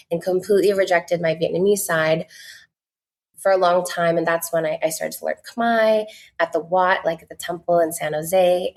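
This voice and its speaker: A woman, talking casually as a podcast guest, earnest and full of "like" fillers. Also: fairly low pitch. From United States. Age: 20s. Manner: chatty, many "like" fillers, earnest. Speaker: podcast guest, content creator. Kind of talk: casual interview talk.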